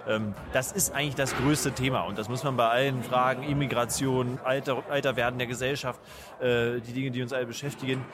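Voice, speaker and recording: A man in his thirties, a municipal mayor, answering interview questions in a measured way with some fillers. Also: medium pitch, steady tone, crowd noise. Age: 30s.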